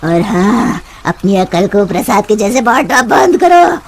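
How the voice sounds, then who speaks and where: A woman's voice: medium pitch, sing-song, unhurried, background noise. A woman voicing a haughty, menacing river witch in a cartoon, imperious and taunting. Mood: bossy, irritated. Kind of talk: Hindi cartoon voice acting.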